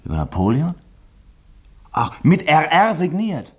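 An actor playing a hearty, incredulous friend in a German radio play, exclamatory and scoffing. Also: male voice, a little high, huge pitch swings, measured pace, husky, heavily acted. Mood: amused disbelief. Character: hearty, incredulous loyal friend of a suspected student.

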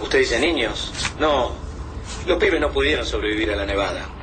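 An actor playing a brisk, authoritative leader of a survivors' refuge in an Argentine radio drama. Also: male voice, medium pitch, expressive, fairly bright tone, lightly acted. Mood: measured, authoritative. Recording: noisy radio drama sound bed.

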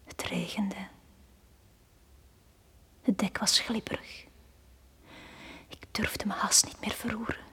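An actress playing a drowned young girl in a radio play, telling her story in a hushed, breathy whisper. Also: mid-range voice, flat delivery, slow, long pauses, full character voice. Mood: hushed, fearful. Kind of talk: dramatic radio play monologue.

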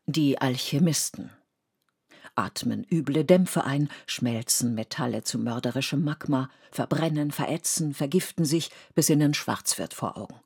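A woman playing the sober, deliberate narrator of a historical radio play. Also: rumbling low, creaky, a little mellow, husky, exaggerated voice. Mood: grave.

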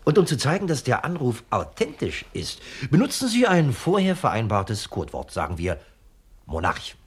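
A man's voice: mid-range voice, huge pitch swings, normal speed, slightly rough. An actor playing a sceptical, know-it-all male criminal accomplice who explains pointedly in a 1970s radio crime comedy. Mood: dry, sceptical.

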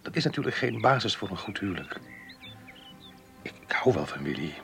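Low-voiced earnest married man. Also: sing-song, brisk, vocal fry, fairly bright tone, soft background music, heavily acted. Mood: regretful, confessing. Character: married man in love, earnest, troubled, romantic. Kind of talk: radio drama dialogue.

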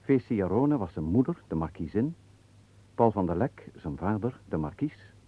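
A man's voice: on the low side, big pitch swings, normal speed, dark tone, slightly rough. A man announcing in a formal, scripted manner as a radio presenter.

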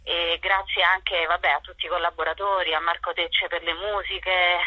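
A woman giving a reflective interview, speaking haltingly and correcting herself as she goes. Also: lowish voice, little pitch movement, pushed and bright, husky, dry recording, poor audio. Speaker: theatre author and actress being interviewed.

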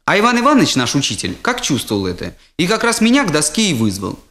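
A man performing a humorous first-person story as its storyteller, with expressive comic timing. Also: fairly high pitch, fast.

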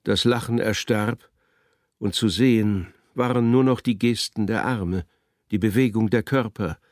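Männlicher Hörspielerzähler, trocken aufgenommen. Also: male voice, fairly low pitch, measured pace, dry recording. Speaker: narrator. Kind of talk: literary radio drama narration.

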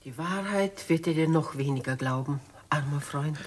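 An actress playing a noble, composed, compassionate older lady in a 1950s German radio drama, husky and measured, with dignified stage diction. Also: low voice, close to an everyday voice. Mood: grave, compassionate. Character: noble, composed, compassionate elderly spinster lady questioning firmly. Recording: muffled vintage 1950s radio recording.

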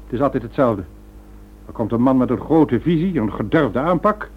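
An actor in a Dutch radio drama playing a scheming criminal leader, grandiose, bitter and scornful. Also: male voice, mid-range voice, animated, normal speed, slightly rough. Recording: old radio recording, narrow phone-like band.

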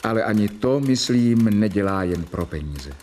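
Man playing an earnest, animated young doctor's assistant. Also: fairly low pitch, slight background noise, lightly acted. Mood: admiring. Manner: earnest, reverent, measured. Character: devoted young male medical assistant dictating admiring notes. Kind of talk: radio play narration.

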